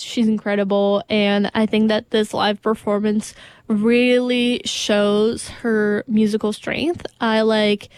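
A woman's voice: a little high, darkish tone, smooth, no echo, clean studio sound. A young woman from Brazil, a native Portuguese speaker, hosting a music radio show in casual, enthusiastic, rambling chat full of "like". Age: young adult. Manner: enthusiastic, rambling, filler-heavy with frequent "like".

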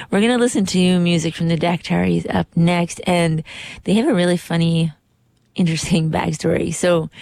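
A hoarse woman hosting a radio show from the studio, chatting in an upbeat, rambling way and correcting herself as she goes. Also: on the low side, dark tone, no echo. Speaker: radio host. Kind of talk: casual radio show chat. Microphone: studio microphone.

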